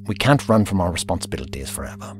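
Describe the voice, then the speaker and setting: Casting: a man to play vain, pompous time-travelling inventor for audio drama; lowish register, swooping delivery. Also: sing-song, quick pace, slight background noise. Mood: brusque, dismissive. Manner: pompous, formal, dismissive. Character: aloof, vain time-travelling alien inventor, tinkering with his ship.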